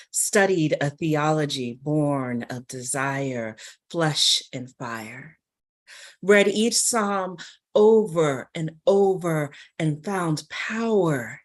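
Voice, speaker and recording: Low-pitched woman reading slowly. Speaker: reader at a poetry reading. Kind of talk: literary reading, scripted narration and poetry.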